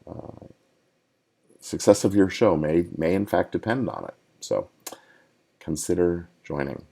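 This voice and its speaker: A man's voice: deep voice, dark tone. A middle-aged man hosting a podcast, giving casual legal advice and thinking aloud as he goes. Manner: conversational, thinking aloud with restarts. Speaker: podcast host and entertainment lawyer. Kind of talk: casual live advice talk.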